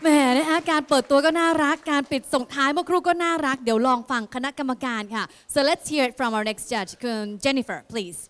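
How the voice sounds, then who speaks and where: A woman hosts a contest in a high voice. She announces very quickly. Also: fairly bright tone. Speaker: contest host. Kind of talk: bilingual event announcing.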